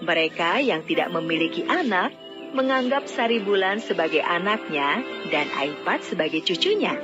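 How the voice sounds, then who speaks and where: A woman narrating a scripted fairy tale as a storyteller. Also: medium pitch. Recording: dull lo-fi audio, music bed. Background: background music.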